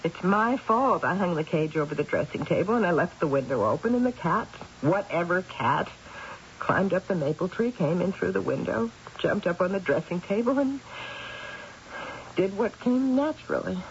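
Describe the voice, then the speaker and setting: An actress playing an anguished, sleepless middle-aged wife with a wry bitter edge, in a dramatic, halting old-time radio style. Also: female voice, on the low side, expressive. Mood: weary, guilty. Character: anguished, insomniac wife and mother, wryly bitter. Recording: muffled, noisy old radio recording.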